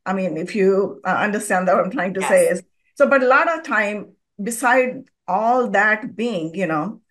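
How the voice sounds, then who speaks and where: A woman hosting a podcast in a mid-range voice. She interviews her guest with long, winding questions that build slowly to the point. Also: mid-range voice, expressive, fairly bright tone. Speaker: podcast host.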